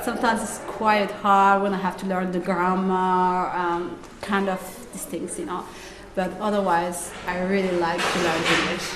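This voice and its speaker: A woman's voice: fairly low pitch, level tone, slight background noise. Young Somali woman in a roomy space. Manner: halting non-native English with laughs. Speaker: English language student. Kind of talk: documentary interview. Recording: roomy echo.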